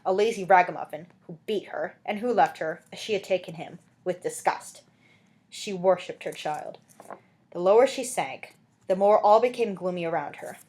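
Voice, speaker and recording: A woman narrating a story as an amateur audiobook reader, in an expressive literary style. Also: fairly low pitch.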